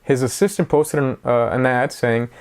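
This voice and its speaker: A man's voice: medium pitch, moderate pace. A man in his twenties giving a casual, rambling talk to the camera, chatty and self-mocking. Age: 20s. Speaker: vlogger.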